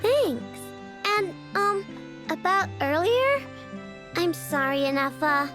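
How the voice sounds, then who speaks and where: A woman's voice: very high-pitched, very animated, slow pace, over music, full character voice. A woman voicing a headstrong young girl inventor with a childlike voice and bossy, emphatic manner in an anime game. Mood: sheepish. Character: headstrong young girl inventor bossing her robot fleet.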